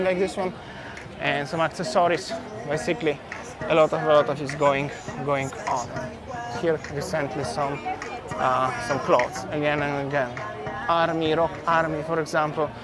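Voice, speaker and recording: A man's voice: on the high side, creaky, rough recording. A man from Poland with a Polish accent, walking and talking through a busy outdoor street market for his travel vlog, chatty and repeating his words. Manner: chatty, repeats words, simple non-native phrasing. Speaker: travel vlogger.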